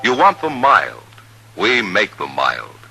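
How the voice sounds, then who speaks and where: Animated, forceful 1950s ad man. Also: lowish voice, slow, vocal fry, a little hiss or hum, poor audio. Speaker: commercial announcer. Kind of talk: 1950s radio advertisement. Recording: vintage 1950s radio recording. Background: music.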